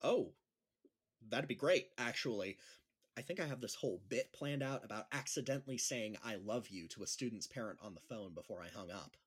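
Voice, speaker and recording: A man's voice: mid-range voice, lively intonation, a little fast, slightly rough. A man playing an awkward, self-deprecating schoolteacher in a naturalistic audio drama, with a hesitant manner. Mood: awkward, hopeful. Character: awkward, self-deprecating male schoolteacher trying stand-up comedy.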